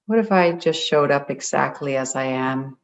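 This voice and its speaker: A meditation guide leading a group in a gentle, deliberate way, leaving long pauses between phrases. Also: medium pitch, creaky. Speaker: meditation guide, spiritual coach. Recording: dull, muffled lo-fi audio.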